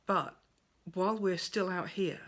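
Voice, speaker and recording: A woman giving a gentle, thoughtful monologue at home by a window, her voice soft and at times close to a whisper. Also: fairly low pitch, unhurried. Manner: soft-spoken, thoughtful, drifts into near-whispers. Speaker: pagan speaker sharing reflections. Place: at home by a window over a garden.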